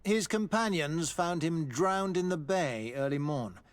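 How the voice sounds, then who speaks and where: Male game character, evasive military physician, fairly high voice, formal, apologetic, hesitant. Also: lively intonation, a little slow. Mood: formal, defensive. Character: evasive, formal male army doctor, nervous and deferential. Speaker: military doctor. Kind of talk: dramatic video game acting.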